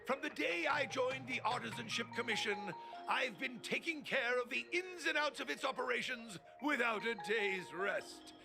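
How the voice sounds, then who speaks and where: A man's voice: extremely high pitch, expressive, normal speed, creaky, heavily acted. A man voicing an elderly, dutiful guild master who speaks formally and with resignation. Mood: resolute. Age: older. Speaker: game character. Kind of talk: video game voice acting. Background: game music.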